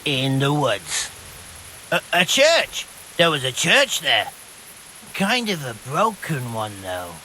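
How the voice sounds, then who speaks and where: Slow, rambling simpleton young bandit. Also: male voice, mid-range voice, wildly swooping pitch, sharp tone, slight background noise, full character voice, poor audio. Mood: rambling, vague. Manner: rambling, hesitant, simple-minded, colloquial. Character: dim-witted young man, rambling, easygoing petty deserter bandit. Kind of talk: video game dialogue acting.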